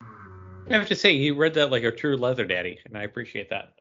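Slightly muffled, lo-fi audio of a man with a medium-pitched voice. He chats loosely and informally as a co-host among fellow podcasters. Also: very animated, brisk, pushed and bright, soft background music. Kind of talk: casual chat.

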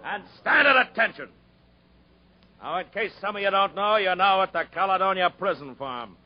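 An actor playing a brusque, bossy prison farm guard in a 1950s radio drama, dry and matter-of-fact. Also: male voice, sits high, expressive, moderate pace. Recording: dull 1950s radio broadcast recording.

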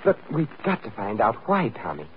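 Vintage radio detective: thin, narrow-band sound, suave, commanding, probing, wry, very lively intonation. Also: male voice, lowish voice, moderate pace. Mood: urgent, pressing. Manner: insistent, probing, dryly witty. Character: suave, commanding male amateur sleuth, urgent and wry. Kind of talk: old-time radio drama acting. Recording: thin, noisy vintage radio broadcast recording.

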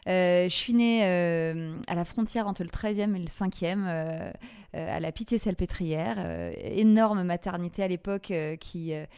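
Woman, Parisian French accent, interview guest, casual storytelling, hesitant phrasing, smooth clean tone, slightly low pitch. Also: expressive, darkish tone. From Paris, France. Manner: hesitant, halting, searching for words. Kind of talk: casual conversational life story.

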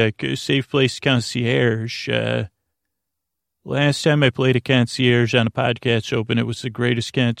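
A man with a creaky voice talks gently and soothingly as a sleep podcast host, meandering, hesitating and repeating half-finished words. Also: mid-range voice, no room sound, studio quality. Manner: meandering, hesitant, often repeats and trails off mid-word; soothing, lulling. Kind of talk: rambling bedtime monologue, talking gently to the listener.

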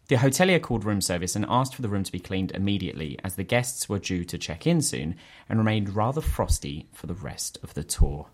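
A man hosting a podcast, reading a listener's letter aloud in an animated, quick storytelling way. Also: lowish voice. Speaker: podcast host.

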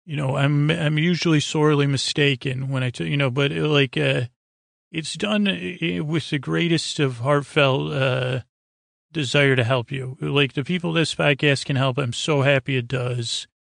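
A man speaks in a gravelly, fry-laden voice, recorded very dry. He hosts a sleep podcast as a wandering monologue. His delivery is halting and whimsical, looping back on itself and leaving thoughts half finished. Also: a little high, fairly even intonation, a bit bright, no room sound. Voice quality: creaky. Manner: meandering, halting, repeats and trails off mid-thought; whimsical, silly tangents. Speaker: podcast host. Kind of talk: rambling bedtime podcast monologue.

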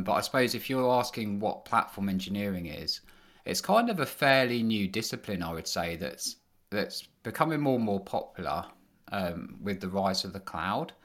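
A man, a podcast guest, answering casually and loosely with many fillers. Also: lowish voice. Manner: relaxed, rambling with many fillers. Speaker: podcast guest, engineering manager.

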